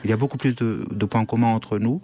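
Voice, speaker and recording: Radio guest, man, lowish voice, halting and searching for words. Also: steady tone, dark tone, faint music behind. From Syria. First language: Kurdish. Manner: hesitant, many restarts and fillers. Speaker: guest writer. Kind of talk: radio interview.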